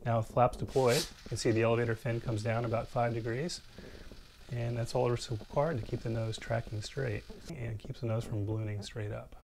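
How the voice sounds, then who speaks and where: A man giving an informal, hands-on workshop demonstration as an instructor, explaining in a halting way as he works. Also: mid-range voice, level tone, creaky, a little mellow, a little hiss or hum. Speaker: instructor, model glider expert. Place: small indoor hobby workshop.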